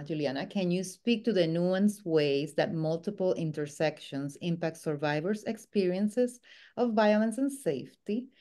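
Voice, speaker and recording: A woman from Rico, co-hosting and asking formal, deliberate questions. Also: fairly low pitch, darkish tone. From Puerto Rico. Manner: formal, deliberate with filler pauses. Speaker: co-host, staff of the organization. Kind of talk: scripted panel questions.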